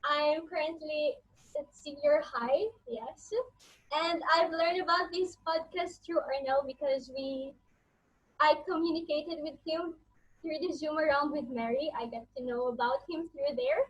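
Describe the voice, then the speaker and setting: A nineteen-year-old woman from Greece with a high voice introduces herself a little nervously, stopping to restart. The recording sounds dull and lo-fi. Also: a little slow. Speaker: youth group leader, guest. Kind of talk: casual self-introduction.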